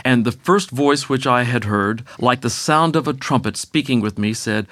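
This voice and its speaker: A man's voice: medium pitch, normal speed, fairly bright tone, no echo. A man co-hosting a Bible teaching show, chatting in a friendly way and reading scripture aloud. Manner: friendly, reads scripture aloud, frequent affirmations. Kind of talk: conversational Bible study chat.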